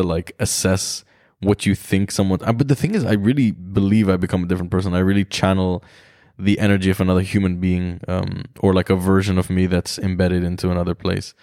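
Male solo podcaster with a very deep voice, casual and rambling, recorded dry in his room. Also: gravelly, a little mellow, dry recording. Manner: rambling, reflective, swears freely. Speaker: podcast host.

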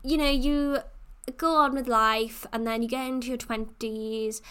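A woman's voice: fairly high pitch, fairly even intonation, unhurried, bright, forceful tone, clean tone. A woman, hosting a casual solo podcast and chatting freely, with plenty of "like" and "you know.". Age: 20s. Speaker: podcast host.

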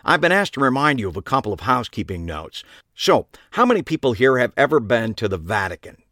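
Man doing a chatty, animated TV host. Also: mid-range voice, fast, no room sound, clean studio sound, voice pushed up. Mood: folksy, chatty. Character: smiling, absent-minded male television host addressing a crowd.

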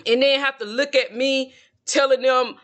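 High bright-voiced man in his forties. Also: fairly even intonation, moderate pace. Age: 40s. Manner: self-assured, talkative, repeats and circles back on points. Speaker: tarot video creator. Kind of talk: casual solo monologue to camera.

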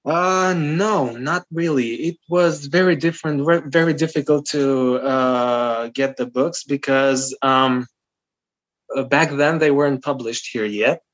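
A man's voice: mid-range voice, unhurried, fairly bright tone. A man in his twenties from North Macedonia whose first language is Albanian, telling a story as a podcast guest with many fillers and restarts. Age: 20s. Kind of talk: casual interview. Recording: muffled lo-fi audio.

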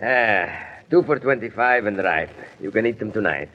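An actor playing a generous, effusive fruit seller with simple old-world phrasing in an old-time radio drama. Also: male voice, lowish voice, animated, moderate pace, natural voice. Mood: welcoming. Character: kindly, generous male fruit seller, eager to please. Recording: noisy, dull old radio recording.

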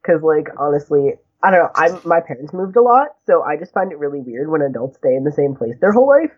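A young man with a high voice, talking in a chatty, rambling way with lots of "like" and small laughs. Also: very animated, quick pace. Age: young adult. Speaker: guest, graduate student. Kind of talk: casual conversation. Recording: tinny phone-like husky sound.

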